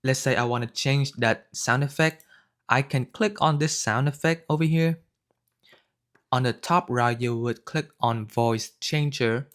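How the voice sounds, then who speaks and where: Slow-paced man giving a tutorial. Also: medium pitch, fairly bright tone. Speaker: tutorial host. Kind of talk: unscripted software tutorial narration.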